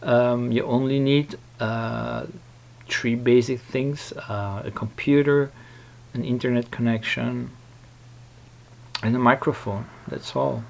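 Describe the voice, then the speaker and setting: A man presenting a casual, unscripted software tutorial in a hesitant, rambling manner. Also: mid-range voice, fairly even intonation, slow pace, gravelly. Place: voice-over recorded at a computer.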